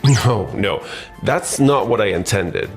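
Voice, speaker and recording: A man acts out a self-serving office schemer from a dubbed comic drama. His pitch swings up and down in an excitable, easily flustered way. Music plays behind him. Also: takes it slowly, gravelly, heavily acted. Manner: stammers when cornered, excitable. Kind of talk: anime-style dramatized dub acting.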